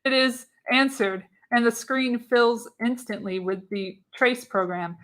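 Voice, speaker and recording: A woman's voice: on the high side, unhurried, a bit bright. A woman reading screenplay narration for a live radio play, theatrical and emphatic on the action. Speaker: podcast host reading the narration.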